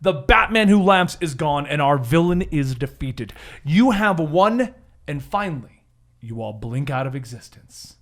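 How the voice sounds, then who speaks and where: High-pitched male game master, theatrical. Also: expressive, measured pace, a bit bright. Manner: theatrical storytelling. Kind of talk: dramatic narration of a superhero tabletop game.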